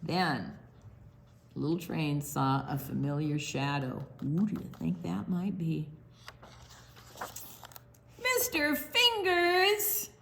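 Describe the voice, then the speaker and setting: A woman reading a picture book aloud to young children, animated, pausing to explain things simply and ask questions. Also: sits low, gravelly, a little hiss or hum. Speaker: children's story time reader.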